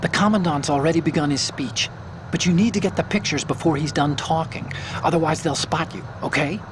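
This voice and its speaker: A man's voice: fairly high pitch, background noise, natural voice. Man voicing an eager techie on the radio. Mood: explaining. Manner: quick, explanatory, eager. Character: chatty male tech support hacker.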